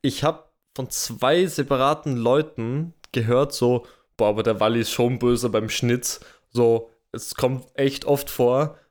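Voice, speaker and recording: A man's voice: mid-range voice, fairly even intonation, unhurried, hardly any pauses, a little mellow. A young man with an Austrian German accent co-hosting a podcast, chatting casually, self-deprecating and rambling with restarts. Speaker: podcast co-host. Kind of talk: casual chat.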